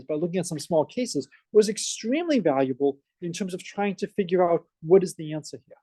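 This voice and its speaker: A male professor with a Boston accent teaching a math class, energetic and full of questions for his students. His voice is mellow and dark, recorded dry and close with little room sound. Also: high-pitched, no echo. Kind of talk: classroom lecture. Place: lecture classroom.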